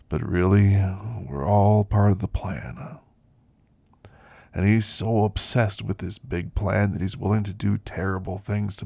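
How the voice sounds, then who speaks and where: Guy doing an old tired werewolf for asmr roleplay, really slow n reflective, gravelly, super dry close sound. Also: lowish voice, no echo, heavily acted. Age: older. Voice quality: gravelly, husky. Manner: slow, hushed, reflective storytelling. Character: weary elderly male werewolf, wistful, confiding.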